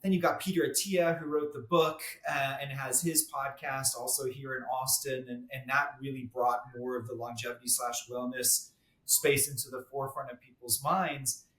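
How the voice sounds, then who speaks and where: A man's voice: medium pitch, fairly even intonation, moderate pace, a bit bright. A man who is a dermatologist, explaining medicine as a guest in a podcast interview and often repeating a word as he restarts. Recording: roomy echo.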